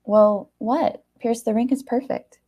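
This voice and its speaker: A woman's voice: on the high side, steady tone, unhurried, mellow, pitched above the performer's own voice. A woman voicing a giddy young bride-to-be who is exclamatory and turns hesitant and pleading when challenged. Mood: anxious, questioning.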